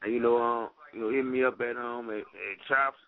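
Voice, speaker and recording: An American man, a guest on a radio show, chatting casually in a low-key, hesitant way. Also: fairly even intonation, moderate pace. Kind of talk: casual chat. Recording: muffled phone line.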